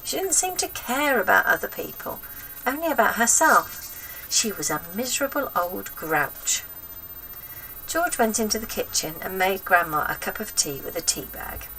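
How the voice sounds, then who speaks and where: A woman reading a children's story aloud as an expressive narrator. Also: mid-range voice, normal speed, recorded from a distance, slight background noise, low-quality recording. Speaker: storybook reader.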